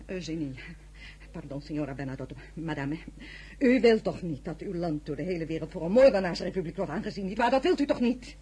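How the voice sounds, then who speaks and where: A woman's voice: on the low side, animated, a little fast, warm tone, husky. A woman playing an anguished, proud older mother in a radio drama, speaking formally, emphatically and imploringly. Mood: pleading. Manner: formal, emphatic, pleading, with Italian words mixed in. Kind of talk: Dutch radio drama acting.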